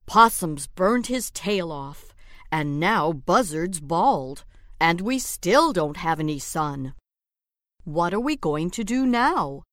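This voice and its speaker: Woman doing a blunt, low storybook wolf. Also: lively intonation, takes it slowly, a bit bright, dry recording, studio quality. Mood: worried. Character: male wolf, blunt and worried leader.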